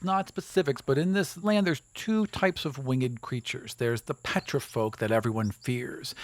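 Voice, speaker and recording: A man running a tabletop role-playing game as game master, describing the scene in a relaxed way with casual asides. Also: medium pitch.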